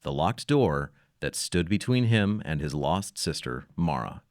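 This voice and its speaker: Deep, animated male storyteller. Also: measured pace, no room sound, clean studio sound. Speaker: narrator. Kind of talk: scripted storytelling narration.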